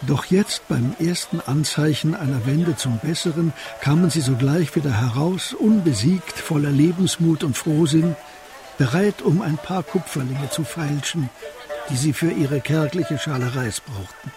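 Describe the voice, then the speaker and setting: A man narrating a radio drama as a scripted literary story, in a composed and deliberate manner. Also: fairly high pitch, natural voice.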